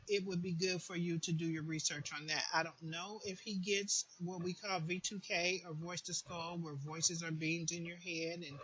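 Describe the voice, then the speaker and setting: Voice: medium pitch, pushed and bright, highs cut off, a little hiss or hum. A person giving an informal, earnest advice monologue, repeating phrases for emphasis, as a support group host would.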